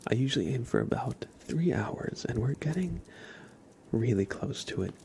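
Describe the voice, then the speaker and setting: A man hosting an ASMR livestream, chatting casually with viewers in a soft, breathy half-whisper, sometimes drawing words out and repeating them. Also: medium pitch, animated, normal speed, a little hiss or hum. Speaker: livestream host.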